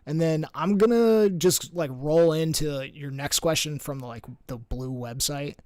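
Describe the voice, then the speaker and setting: A man's voice: fairly high pitch, measured pace, a little mellow, no echo, studio quality. A man tutoring physics over a screen share in a casual way, thinking aloud with lots of fillers and restarts. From United States.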